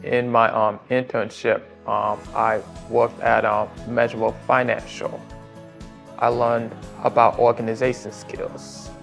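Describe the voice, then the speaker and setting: A man's voice: mid-range voice, level tone, a little slow, gravelly, fairly bright tone, soft background music. A young man in his twenties giving a simple, earnest student testimonial. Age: 20s. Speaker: program student.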